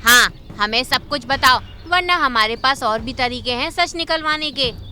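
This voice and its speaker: Woman as a high, bright, brave little bird. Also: a little slow, full character voice. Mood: stern questioning. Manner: firm, earnest, commanding. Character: brave clever female bird, firm leader.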